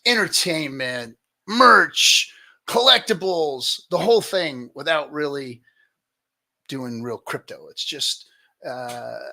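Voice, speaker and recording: A man's voice: mid-range voice, bright, forceful tone. A man hosting a casual livestream hangout, talking informally as the host.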